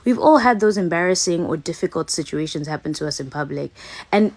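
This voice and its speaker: A young woman influencer with a hoarse voice from a cold, talking earnestly to camera and asking people to stop. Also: fairly low pitch, animated, fairly quick, darkish tone. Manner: earnest, pleading. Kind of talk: direct-to-camera appeal.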